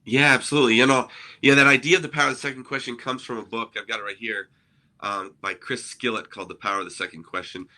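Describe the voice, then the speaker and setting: A man with a bright, ringing voice at a middle pitch, who grew up in Argentina. He talks earnestly in a relaxed podcast chat and keeps asking "right?" to bring the listener along. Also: fast talker. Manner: earnest, explanatory, often checks in with "right?". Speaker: co-host, ministry innovation speaker. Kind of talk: conversational podcast discussion.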